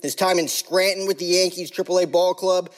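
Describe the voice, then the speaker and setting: Upbeat male podcast host, fairly high voice. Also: fairly quick. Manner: energetic, hyped sports talk with slang. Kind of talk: casual podcast intro and interview.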